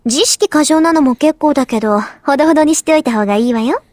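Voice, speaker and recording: A woman playing a proud, composed, sharp-tongued teenage schoolgirl in a Japanese anime visual novel, polite but cutting. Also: high-pitched, racing, no room sound, clean studio sound, natural voice. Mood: coolly dismissive.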